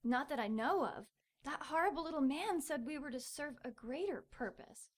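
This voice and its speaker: A woman's voice: high-pitched, animated, moderate pace. An actress playing a scared young captive woman in an audio drama, hesitant and pleading for rescue. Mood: frightened, pleading.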